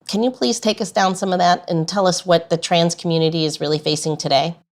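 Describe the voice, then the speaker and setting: A woman's voice: lowish voice, steady tone, fairly quick. A woman hosting a podcast, speaking in an earnest, conversational interview style. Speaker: podcast host.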